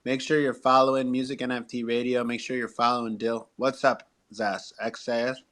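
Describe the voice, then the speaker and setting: A man's voice: medium pitch, level tone, moderate pace, a bit bright. Upbeat man hosting a live podcast. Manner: promotional, encouraging, casual slang. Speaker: show host.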